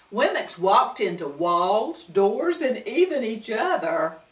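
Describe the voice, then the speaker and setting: A woman's voice: medium pitch, a bit bright. A woman reading a children's story aloud with expression, asking her young listeners questions as she goes. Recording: roomy, distant mic.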